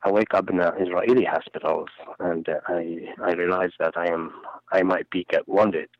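A man in his forties from Palestine, a non-native English speaker, talking earnestly and haltingly in a phone interview. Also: deep voice, fairly even intonation, no echo. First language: Arabic. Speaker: interview guest. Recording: muffled phone recording.

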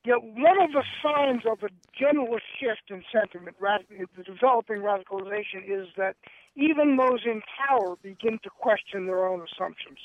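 A man's voice: high-pitched, normal speed, a little hiss or hum. A man guest on a radio show, talking with hesitant restarts and reading his poem aloud. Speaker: guest poet and activist. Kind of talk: radio interview and poetry reading. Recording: tinny phone line.

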